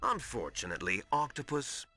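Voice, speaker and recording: A man playing a smug, theatrical video game villain, a treacherous brother who gloats in a drawn-out, mocking way. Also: mid-range voice, sing-song, pushed and bright, soft background music. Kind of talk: dramatic video game voice acting.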